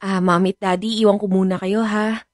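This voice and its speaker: A woman's voice: mid-range voice, flat delivery, fairly quick, no echo, lightly acted. A woman playing a polite, helpful hospital nurse in a radio drama. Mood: courteous.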